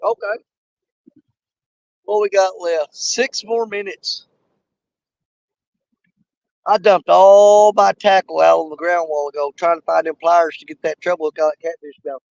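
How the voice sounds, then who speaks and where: A man hosting a fishing live stream outdoors at a small lake, hoarse and losing his voice, rambling in a folksy way straight to his viewers. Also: sits high, steady tone, measured pace, pauses often, a little mellow, dry recording, dull audio. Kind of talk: casual live-stream chat.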